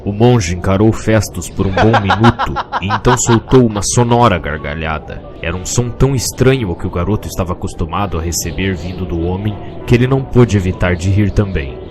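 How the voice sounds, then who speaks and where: Male narrator, low pitch, Brazilian accent, scripted fantasy storytelling, soft music behind. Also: a little fast, hardly any pauses.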